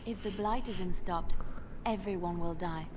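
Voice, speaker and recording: A woman playing a pragmatic, hard-headed adventurer in a fantasy video game, measured and unsentimental. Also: on the low side, lightly acted. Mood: firm, resolute. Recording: game audio with noisy ambience.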